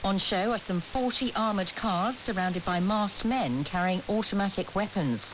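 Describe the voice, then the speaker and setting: A woman's voice: mid-range voice, normal speed. Lady reading the news on radio, super hissy, dull shortwave sound. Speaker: newsreader. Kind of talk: formal scripted news bulletin. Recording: muffled, very noisy hissing shortwave radio.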